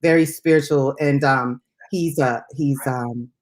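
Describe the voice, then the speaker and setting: A woman's voice: very deep voice, unhurried, a little mellow. A middle-aged woman co-hosting a casual music chat, talking in a playful, informal way that wanders into asides. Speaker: radio show host.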